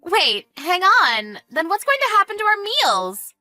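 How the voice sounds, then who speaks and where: A woman voicing a spiteful, greedy sister-in-law with a shrill, whiny manner in a narrated family drama. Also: very animated, moderate pace, pushed and bright, no echo, soft background music, heavily acted. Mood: indignant, alarmed. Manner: shrill, indignant, pleading.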